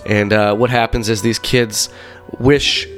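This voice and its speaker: A man hosting a podcast in casual chat, talking with many fillers and a slightly rough voice, congested from being sick. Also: lowish voice, a little slow, faint music behind. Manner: rambling, animated storyteller with many fillers. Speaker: podcast host.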